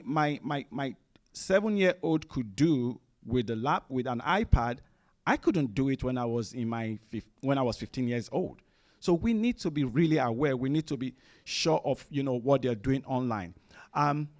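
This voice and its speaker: A man's voice: mid-range voice, a little mellow. Nigerian man giving a casual talk. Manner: conversational, many fillers and restarts. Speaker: guest speaker at a parents' summit. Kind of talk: conference presentation. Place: room with a seated audience.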